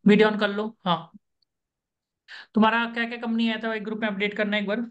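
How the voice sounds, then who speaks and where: A man working as an interview coach, running an online coaching session over a video call, brisk and instructive, repeating the key points. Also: high-pitched, flat delivery, a bit bright, lo-fi sound.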